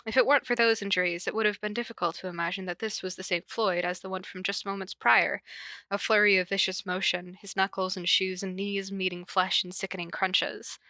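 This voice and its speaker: A woman's voice: medium pitch, little pitch movement, fairly quick, pushed and bright, no room sound. A woman podcast host cold-reading a story aloud, with casual, self-deprecating asides.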